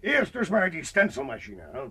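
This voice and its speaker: An actor playing an eager, cheerful young activist in a radio comedy, earnest and zealous, with a raspy voice. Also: male voice, high-pitched, wildly swooping pitch, moderate pace, sharp tone, exaggerated voice. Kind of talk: 1980s comedy radio drama acting.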